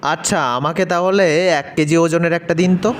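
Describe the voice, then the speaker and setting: A man's voice: on the high side, steady tone, moderate pace, slight background noise, lightly acted. A man playing an obliging, timid village husband and father in a cartoon, earnest and easily unnerved. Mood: cheerful. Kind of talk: cartoon dialogue acting. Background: faint music.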